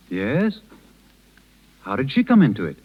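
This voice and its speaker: An actor playing an earnest, principled small-town doctor with a direct manner in a 1930s radio drama. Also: male voice, on the low side, wildly swooping pitch, slow pace, vocal fry, husky, exaggerated voice. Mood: tense, probing. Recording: muffled, lo-fi 1930s radio recording.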